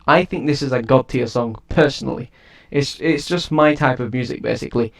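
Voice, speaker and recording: Man, casual music review, rambling, frequent restarts, mid-pitched voice. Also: brisk. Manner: rambling, restarts sentences often.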